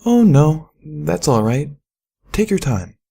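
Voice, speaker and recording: Storybook role: gracious female unicorn singer, male actor. High, smooth, soft, polite and refined. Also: big pitch swings, takes it slowly, full character voice. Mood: reassuring. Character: elegant, gracious young female unicorn singing star, gently kind.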